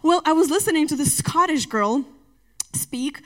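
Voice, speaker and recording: A middle-aged woman with a non-native English accent preaching a sermon, chatty and emphatic, often rising to loud exhortation. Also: sits high, expressive, moderate pace. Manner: conversational asides to the congregation.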